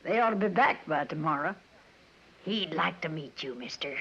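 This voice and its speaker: An actress playing a rough, hard-drinking frontier woman in a 1950s radio western, with a folksy, swaggering American Western drawl. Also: sits low, animated, normal speed, a bit bright, heavily acted. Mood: folksy warning. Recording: muffled 1950s radio broadcast recording.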